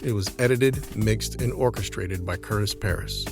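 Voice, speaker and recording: A man narrating a scripted audio drama in a deliberate, dramatic manner. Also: fairly low pitch. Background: dramatic music.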